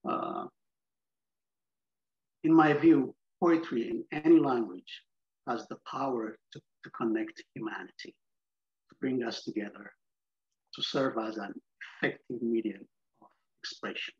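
A man from Ethiopia with an Ethiopian accent, Amharic his first language, speaking as a poet at an online poetry event in a halting way with many fillers. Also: medium pitch, breathy edge. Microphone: video call. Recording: dull video call audio.

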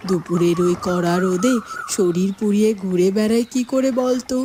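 A woman voicing expressive female storybook figures and narration for a fairy-tale cartoon. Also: medium pitch, normal speed, lightly acted. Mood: cheerful, explaining. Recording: clear background noise and ambience.

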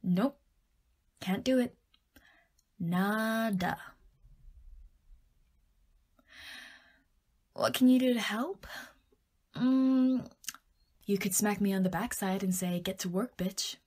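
A woman's voice: medium pitch, slow pace, long pauses, a little mellow, slightly rough. A woman playing a playful, self-deprecating scriptwriter girlfriend in an intimate audio roleplay, with whiny, theatrical pleading that often drops into murmurs. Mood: mopey.

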